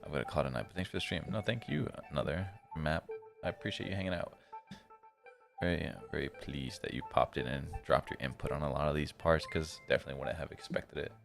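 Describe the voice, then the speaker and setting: A man's voice: extremely low pitch, fast talker, creaky, music in the background. A laid-back, tired man streaming a visual novel, reading it aloud and adding casual, slangy commentary. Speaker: game streamer.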